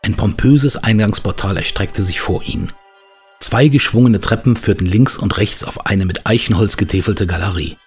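An actor as a male narrator of an adventure audio drama. His voice is deep and he tells the tale in measured, scripted storybook sentences over soft music. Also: lightly acted. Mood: measured storytelling.

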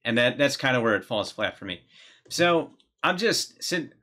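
Bright-voiced man, casual podcast co-host. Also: medium pitch, sing-song, moderate pace. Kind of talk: casual film discussion. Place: small home room, indoors.

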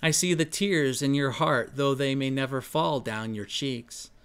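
A man voicing a wise male raven who speaks calmly and knowingly to a troubled man in a spoken story. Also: mid-range voice, measured pace, clean tone, voice pushed down, natural voice.

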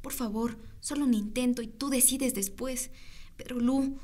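A loyal, insistent teenage girl played by a woman in a radio drama. She speaks firmly and encouragingly in a fairly high voice. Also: fairly even intonation, moderate pace, mellow. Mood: firm, supportive. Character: loyal, firm, urging teenage girl best friend.

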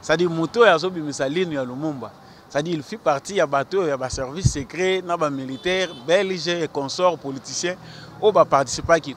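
A Congolese man in his thirties argues his point on a city square, pitched a little high, stumbling over repeated words as he talks. He speaks into a handheld mic held out to him, with faint traffic noise behind him outdoors. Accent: Congolese French with Lingala. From Congo (DRC). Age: 30s. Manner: earnest and argumentative, many repeated stammers; gestures with his hand while talking. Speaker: passer-by interviewed on the street. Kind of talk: street interview, mixing French and Lingala. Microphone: handheld mic held out by the interviewer.

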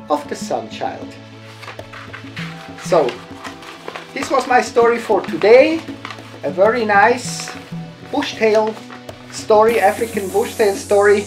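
A man in his fifties reads a children's story as a lodge host. His delivery is unhurried and deliberate, and his intonation is very animated. Music plays softly along with him. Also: high-pitched. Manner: slow, expressive, deliberate phrasing. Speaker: safari lodge host reading a bedtime story. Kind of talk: storytelling read aloud for children. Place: lodge bedroom, lying in bed.

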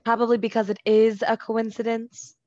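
A woman's voice: on the high side, completely flat delivery, normal speed, dark tone, no echo, close to an everyday voice. A woman playing a skeptical, teasing mother and wife in a radio play, with dry sarcasm, on a slightly lo-fi recording. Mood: teasing, dismissive. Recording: slightly dull, lo-fi sound.

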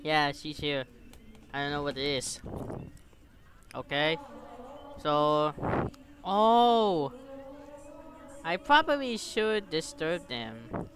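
A gaming streamer, giving exclamatory, halting English commentary and reading game text aloud. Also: mid-range voice, animated, a bit bright. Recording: clear background noise and clicks.

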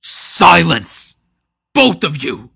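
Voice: low voice, slow pace, bright, forceful tone, husky, exaggerated voice. A storyteller voicing a vengeful male spear-wielding warrior who speaks in a thunderous, menacing way. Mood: furious. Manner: thunderous, through clenched teeth.

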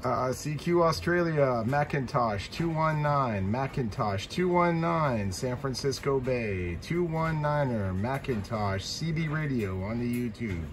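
Guy calling cq over cb, same call sign over and over, loud static behind him. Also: medium pitch, animated, unhurried, hardly any pauses. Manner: repeats call signs in clipped radio cadence. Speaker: CB radio operator. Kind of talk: CB radio calls. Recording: loud static noise, radio audio.

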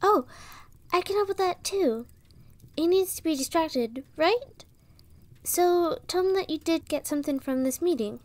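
A woman's voice: very high voice, lively intonation, measured pace, a little mellow, no room sound. A woman playing an eager, plucky, clever young girl helper in a fantasy audio roleplay, earnest and youthful. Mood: eager scheming.

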